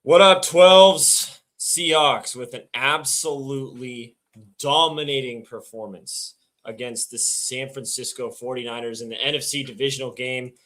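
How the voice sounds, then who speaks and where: Hoarse, raspy man hosting a podcast. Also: mid-range voice. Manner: excitable, quick bursts. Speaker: podcast host. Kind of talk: casual podcast chat.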